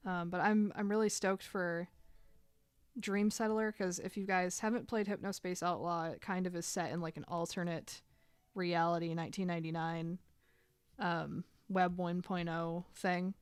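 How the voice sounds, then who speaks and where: A woman's voice: fairly low pitch, steady tone, normal speed, clean tone, no echo. A woman in her thirties chatting casually while drawing on a live stream, rambling and filling gaps with "like". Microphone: desk microphone.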